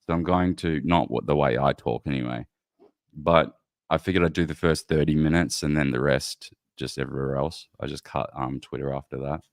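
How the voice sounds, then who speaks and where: Very deep-voiced man, dry close sound. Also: steady tone, fairly quick, dry recording. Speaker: podcast host. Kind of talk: casual conversation.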